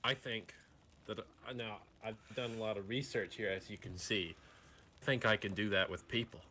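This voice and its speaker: A man roleplaying an amoral mad doctor in a Western game, explaining his schemes calmly and haltingly. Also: fairly low pitch, a little hiss or hum. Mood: calmly eager. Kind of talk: improvised online Western roleplay.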